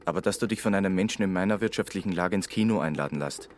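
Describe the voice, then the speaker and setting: An actor playing a broke, jobless man in a radio drama, resigned and quietly bitter, speaking dryly. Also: low voice, fast talker, soft background music, natural voice. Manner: dry, resigned. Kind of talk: literary radio drama, narration and dialogue.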